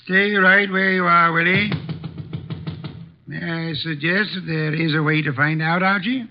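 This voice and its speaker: An imperious, portly detective speaks in formal, unhurried sentences with dry pomposity. The voice sits slightly high for a man, on a muffled vintage radio mystery recording. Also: fairly even intonation. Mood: probing, stern. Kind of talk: old-time radio mystery acting.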